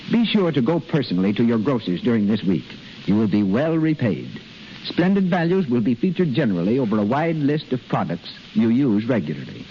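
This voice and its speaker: Male American 1930s radio announcer, animated scripted read, dull recording with faint hiss. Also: mid-range voice. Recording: hissy, muffled 1930s radio broadcast.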